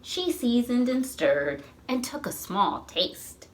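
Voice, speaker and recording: A woman reading a picture book aloud to young children, expressively and with questions to the listeners. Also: fairly high pitch. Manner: animated, slow, asks the listeners questions. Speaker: children's storytime reader at a library.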